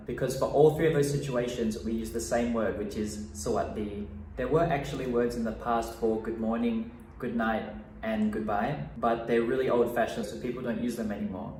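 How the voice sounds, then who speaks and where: A young man teaching a relaxed language lesson, with an easygoing manner and a habit of laughing at his own slips. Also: mid-range voice, a little hiss or hum. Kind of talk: bilingual video lesson. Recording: echoey room, mic at a distance.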